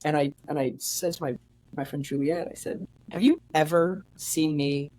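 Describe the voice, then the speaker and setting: A man in his late twenties, a podcast guest talking candidly in a reflective, halting way with many fillers and restarts. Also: fairly high pitch, fairly even intonation, a little mellow, dry recording, poor audio. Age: 20s. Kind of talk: candid conversational interview.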